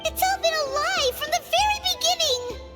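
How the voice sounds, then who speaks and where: A woman voicing a tiny, excitable female fairy-like companion in a game, chirpy and indignant. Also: extremely high pitch, moderate pace. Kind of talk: game cutscene voice acting. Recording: game audio with reverb and music.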